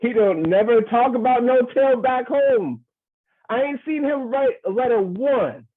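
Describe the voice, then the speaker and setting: Man doing a very high-pitched excitable young soldier. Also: a little slow, narrow, tinny audio. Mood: teasing. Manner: folksy, ribbing. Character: excitable, teasing junior soldier. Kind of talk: live screenplay table read.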